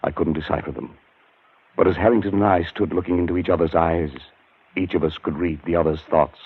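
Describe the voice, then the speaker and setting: A deep, grave haunted scholar. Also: male voice, fairly even intonation, fairly quick, husky. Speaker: narrator and lead character. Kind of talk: 1940s radio drama acting. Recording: tinny old radio broadcast.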